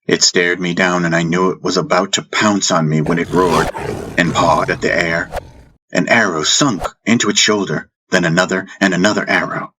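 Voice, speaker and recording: A man narrating a first-person fantasy audiobook in a measured way, with dramatic pauses. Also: deep voice, steady tone, sharp tone, a little hiss or hum, poor audio. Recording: music bed under the voice. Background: background music.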